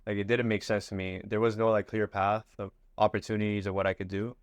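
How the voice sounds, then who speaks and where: A man in his twenties tells his story in an easy, rambling way, dropping fillers as he goes. He grew up in Miami after leaving Ecuador. His voice sits low and sounds dry and close to the mic. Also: fast talker, clear, resonant tone, no echo. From Ecuador, raised in Miami. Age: 20s. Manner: relaxed, loose, many fillers. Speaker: guest entrepreneur. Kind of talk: casual interview talk.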